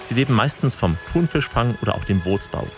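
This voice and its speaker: A man's voice: medium pitch, lively intonation, fairly quick. A man reading scripted narration and translated voice-over for a travel report. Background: music behind the voice.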